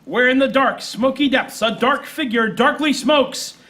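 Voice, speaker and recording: An actor playing an excitable announcer, doing mock old-time radio announcing for a noir parody on stage. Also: male voice, very high voice, measured pace, exaggerated voice. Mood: melodramatic. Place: small theater stage before live audience.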